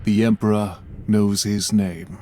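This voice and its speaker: An actor playing a suspicious space marine brother in a game cutscene, curt and cold. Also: male voice, fairly low pitch, flat delivery, a little slow, warm tone, a little hiss or hum, lightly acted. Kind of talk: video game cutscene acting. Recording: game audio over music.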